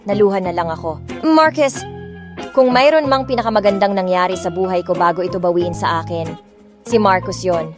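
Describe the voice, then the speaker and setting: Woman as young rich girl in love narrating romance audio drama, emotional, mid pitch, music under it. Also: fairly quick, natural voice. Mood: tearful, tense. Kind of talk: first-person dramatic story narration.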